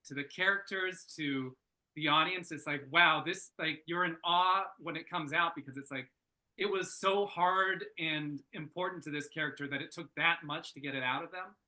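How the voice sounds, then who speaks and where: A man giving casual workshop feedback, thinking aloud in halting, searching phrases. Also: a little high, sharp tone, rough recording. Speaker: script reader giving feedback at a table read.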